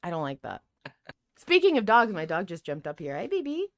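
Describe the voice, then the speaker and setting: A woman podcast co-host in casual, unscripted debate, speaking in an animated, blunt and argumentative way. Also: fairly low pitch, brisk, no echo, clean studio sound.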